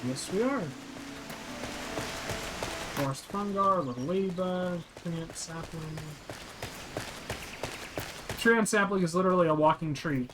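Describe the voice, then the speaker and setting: Sweary man describing a video game, high-pitched. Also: unhurried, long pauses, background noise. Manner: sweary, blunt. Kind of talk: casual play-by-play visual description. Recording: game music behind the voice. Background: video game music.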